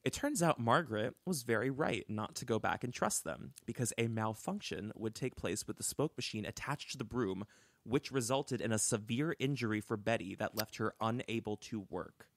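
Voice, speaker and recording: A young man co-hosting a podcast, telling a story in a chatty, animated way with lots of "like" and restarts, on a close studio mic. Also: medium pitch, moderate pace, no room sound. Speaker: podcast co-host. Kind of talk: casual storytelling chat.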